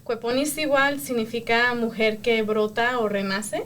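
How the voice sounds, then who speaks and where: Lively Mexican woman, laughing co-host. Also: fairly high pitch, unhurried, slight background noise. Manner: warm, laughs often, lively storytelling. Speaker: podcast co-host. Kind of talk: casual chat.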